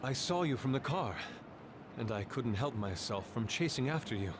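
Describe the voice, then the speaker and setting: A man voicing a formal, intense teenage male art student in an anime video game, with a deep, polite voice. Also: expressive, normal speed, a little hiss or hum, close to an everyday voice. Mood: apologetic. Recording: game dialogue under loud music.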